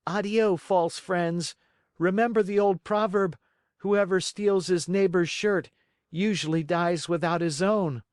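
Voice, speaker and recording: A man voicing an eager young wooden marionette boy with a bright, earnest voice. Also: high voice, steady tone, unhurried, no echo, clean studio sound, pitched above the performer's own voice. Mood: scornful.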